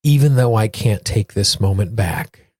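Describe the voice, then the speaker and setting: A man with a fairly low, slightly husky voice talks as a solo podcast host. He phrases things earnestly and deliberately, often repeating for emphasis. The sound is close and dry. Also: expressive, darkish tone, no echo. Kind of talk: solo podcast talk with guided affirmations.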